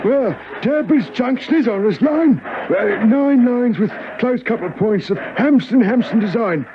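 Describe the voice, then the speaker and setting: An actor playing a fussy, polite old railway enthusiast in a radio drama, hesitant, muttering and pedantic. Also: male voice, very high-pitched, animated, measured pace, full character voice. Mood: muttering, puzzled. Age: older. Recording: muffled, noisy old radio recording.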